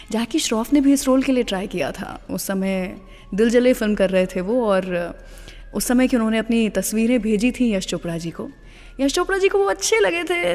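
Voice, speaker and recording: A woman's voice: mid-range voice, a little mellow. An Indian woman presenting a radio show, telling stories in a conversational way and switching between Hindi and English phrases. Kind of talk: scripted radio presenting with film trivia between songs. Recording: long reverb, music underneath.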